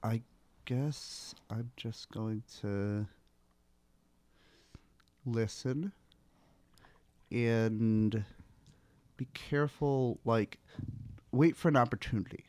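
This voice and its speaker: A man's voice: mid-range voice, extremely slow, pauses often, a little mellow, no echo. A man playing a tabletop game, talking casually and hesitantly as he thinks aloud about what his character does.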